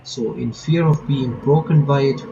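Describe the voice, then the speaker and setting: A man's voice: medium pitch, moderate pace, gravelly, dark tone, lo-fi sound, slight background noise. A man reading visual novel text aloud with casual commentary, breaking off to remark and reread lines. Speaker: gaming reaction video maker.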